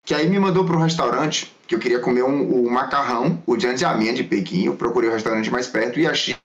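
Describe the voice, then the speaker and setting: A man's voice: mid-range voice, fast talker. A man, a Mandarin school teacher and guest, answering casually and rambling through anecdotes. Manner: rambling, storytelling, self-correcting. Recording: dull lo-fi audio.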